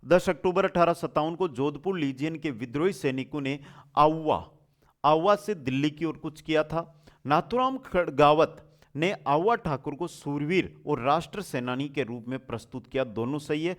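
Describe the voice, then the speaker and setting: Slightly high-voiced male teacher. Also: moderate pace. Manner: familiar, addresses students affectionately, repeats answers. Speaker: online exam-prep history teacher. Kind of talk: quiz lesson, reading questions and explaining answers.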